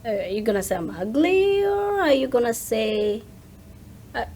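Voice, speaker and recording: A woman in her thirties with a slightly high voice, speaking as a guest in a casual chat. She is emphatic and often repeats a phrase to press it home. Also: very animated, moderate pace, dark tone. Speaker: interview guest.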